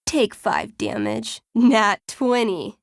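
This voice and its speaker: A woman's voice: on the high side, huge pitch swings, slow, vocal fry, no echo, clean studio sound, full character voice. A woman playing a friendly, nerdy teenage girl in a video game, casual and playful, with a game master's flair for drama. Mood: playful, theatrical. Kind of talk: naturalistic video game voice acting.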